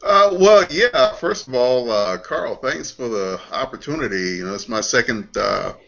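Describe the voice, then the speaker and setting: A man talks politely and haltingly in a casual chat. His voice is gravelly with a sharp, bright edge at an average pitch. The recording is dull and lo-fi. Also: animated. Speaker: voice actor, cast member. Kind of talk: casual group call chat. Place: online video call. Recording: dull lo-fi call audio.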